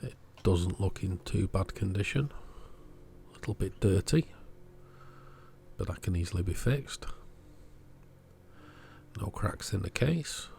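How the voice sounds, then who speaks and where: A man narrating a hands-on repair video in short, measured, understated phrases. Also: low voice, animated, gravelly, darkish tone, a little hiss or hum. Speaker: host of an electronics repair channel.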